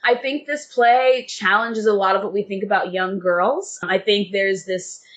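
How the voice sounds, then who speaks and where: A woman's voice: mid-range voice, fairly even intonation, a little slow. A woman speaking as an interview guest, earnest and enthusiastic about her subject. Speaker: theatre guest and reader.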